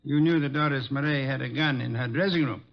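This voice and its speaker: A man's voice: medium pitch, fast, vocal fry, lightly acted. A man playing a genius detective in an old-time radio drama, formal and precise as he questions suspects. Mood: probing, formal. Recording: dull lo-fi old radio recording.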